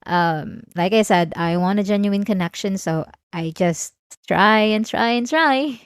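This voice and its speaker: A woman acts out an obsessive female patient confiding in her therapist, in an intimate ASMR roleplay. She sounds calm and vulnerable on the surface, with a manipulative edge and hesitant, halting phrasing. Her pitch sits in the middle of the female range, and the recording is dry, with almost no room sound. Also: clear, resonant tone, no room sound, natural voice. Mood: evasive, wistful. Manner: hesitant, halting, with sighs and nervous soft laughs.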